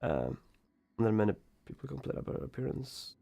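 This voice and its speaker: A man livestreaming a video game, giving casual, halting commentary and replying to chat, with some noise behind him. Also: lowish voice, sing-song, vocal fry, mellow.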